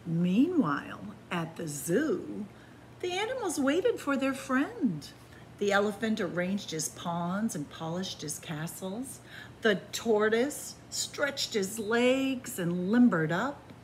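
A woman reading a picture book aloud expressively, with deliberate phrasing and dramatic pauses. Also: medium pitch, sing-song, slight background noise, low-quality recording. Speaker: librarian reading picture books aloud to children. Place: indoors in a library room with bookshelves.

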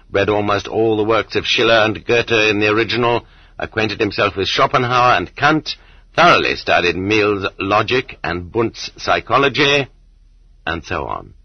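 A man narrating a non-fiction audiobook with measured, sardonic delivery and expressive emphasis, on dull lo-fi audio. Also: on the low side, breathy edge, no room sound.

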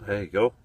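A man's voice: medium pitch, sharp tone, husky, dry recording. A man in his forties making a casual vlog inside a small camper van, thinking aloud with fillers and restarts as he works. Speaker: van life vlogger.

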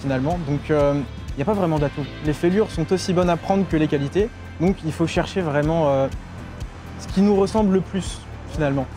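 A man's voice: on the high side, a little fast. A young man, a student and debate society president, talking fluently and thoughtfully in an interview. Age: young adult. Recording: music bed under the voice.

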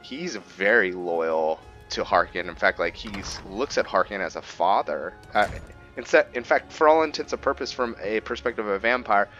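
A man giving casual let's play commentary, pausing often mid-sentence as he thinks aloud. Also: fairly low pitch, animated, a bit bright, muffled recording. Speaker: game streamer narrating a roleplay playthrough. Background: game music.

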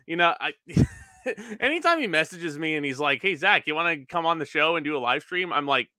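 A man's voice: on the high side, expressive, a little fast, sharp tone, dry recording. A man in his twenties joining a live chat show as a guest, laid-back and enthusiastic, often laughing as he talks into a desk mic. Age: 20s. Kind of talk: casual chat. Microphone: desk mic on a stand.

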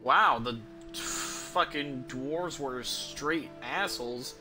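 A man's voice: mid-range voice, drawn-out words. A young adult man giving casual, rambling gameplay commentary as a streamer, indoors at home. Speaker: game streamer. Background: game music.

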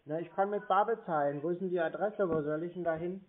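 A man with a fairly high voice plays a clueless customer in a casual phone call. He stalls and answers with questions. The sound is thin and phone-like. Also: quick pace. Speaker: prankster playing a clueless customer.

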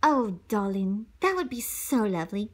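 Woman playing a gushing, coaxing mother, very animated. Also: mid-range voice, normal speed. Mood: eager pleading. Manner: cajoling, gushing, dramatic. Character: doting, theatrical mother coaxing with extravagant bribes.

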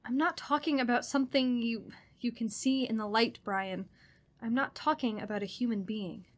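A woman acts a frightened young woman in a radio play, insistent and haunted. Her voice is on the high side. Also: moderate pace, natural voice. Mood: haunted, fearful. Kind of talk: radio drama acting.

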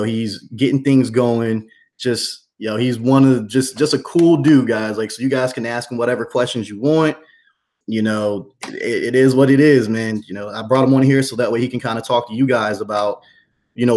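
Guy in his 30s hosting a laid back slangy stream chat, medium pitch. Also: darkish tone. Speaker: show host, entrepreneur. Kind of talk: casual, slangy livestream chat. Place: dim room at home, indoors. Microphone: webcam video call.

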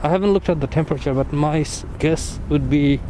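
A man with a somewhat high voice talks his way through a walking tour in a loose, musing manner, with pauses between remarks. He is outdoors on a city street with a camera mic. Passing cars and street noise sit clearly behind him. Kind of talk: casual walking commentary. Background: traffic and street ambience.

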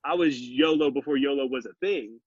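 Husky forties man on a video call. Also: medium pitch, a little fast, no room sound. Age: 40s. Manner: earnest, animated, gestures while talking. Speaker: podcast guest. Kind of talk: casual conversational interview. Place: home living room with bookshelves. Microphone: video call, laptop mic. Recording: muffled dry video call audio.

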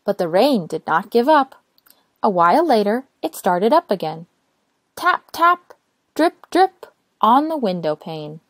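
A woman narrating a children's storybook aloud in an expressive, careful way. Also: mid-range voice, a little slow, dry recording. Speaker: storytime reader.

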